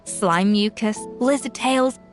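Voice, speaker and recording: A woman's voice: fairly high pitch, slow pace. A woman playing a confident, exacting young master chef in a video game, articulate, composed and self-assured. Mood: composed, explaining. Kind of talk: video game character acting. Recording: music playing behind the voice.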